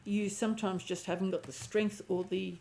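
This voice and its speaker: A woman with a croaky voice and a lingering cough giving an intuitive tarot reading, thinking aloud and often restarting her phrases. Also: medium pitch, steady tone, normal speed, a little mellow. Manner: musing aloud, restarting phrases, clearing throat. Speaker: tarot reader.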